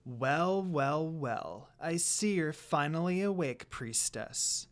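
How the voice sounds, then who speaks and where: A man's voice: fairly high pitch, animated, slow pace. A man voicing a cruel, jealous female demon with a sneering, growling manner. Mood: smug.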